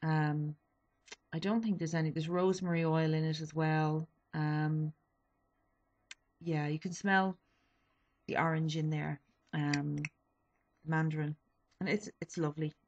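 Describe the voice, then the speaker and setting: A woman's voice: low voice, flat delivery, a little slow, long pauses, a little mellow, lo-fi sound. A young woman in her twenties, a little sniffly, chatting casually through a beauty haul with lots of ums and self-corrections. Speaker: beauty vlogger. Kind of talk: casual product review.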